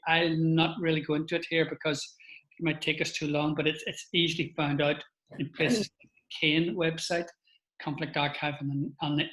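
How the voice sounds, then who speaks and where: A man's voice: fairly high pitch, a bit bright, roomy sound, rough recording. Older Northern Irish man, chatty lecturer. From Northern Ireland. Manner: chatty, with frequent restarts and asides to the audience. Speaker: speaker giving a talk. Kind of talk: informal lecture with slideshow.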